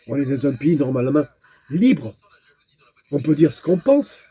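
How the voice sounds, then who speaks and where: A man's voice: fairly high pitch, sing-song, unhurried, warm tone, breathy edge. A man vlogging in a casual, rambling monologue, talking straight to the viewer and often repeating words while he searches for the next one. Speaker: nomad vlogger.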